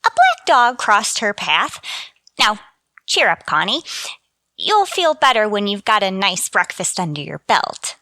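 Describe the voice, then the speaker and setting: A woman's voice: mid-range voice, big pitch swings, a little slow, pushed and bright, no echo, full character voice. An actress playing a chirpy, scatterbrained, motherly landlady in a scripted radio comedy, in a sing-song, absent-minded manner. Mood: fussing, coaxing. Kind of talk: scripted radio sitcom acting.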